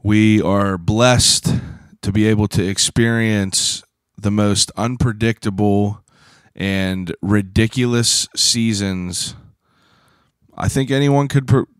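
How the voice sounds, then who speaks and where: Man, thirties, hoarse, podcast host, rambling, profane, lowish smooth voice, dry close sound. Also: steady tone, slow, no echo. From Pittsburgh, USA. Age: 30s. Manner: rambling, profane, self-deprecating; frequent "uh" fillers and throat clearing. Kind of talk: casual solo monologue.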